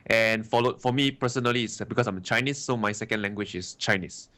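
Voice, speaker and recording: A man's voice: lowish voice, quick pace, sharp tone. Chatty young Singaporean man. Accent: Singaporean English. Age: 20s. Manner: chatty, many fillers like "right" and "you know". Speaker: guest trader. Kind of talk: casual interview answers.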